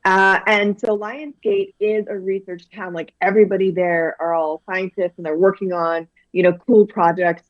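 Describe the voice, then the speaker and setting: Excited woman from guyana talking about her books, kinda dull lo-fi sound, dry. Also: medium pitch, normal speed, hardly any pauses, no room sound. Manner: animated, enthusiastic, many fillers and restarts. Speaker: children's book author, guest. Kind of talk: casual interview chat.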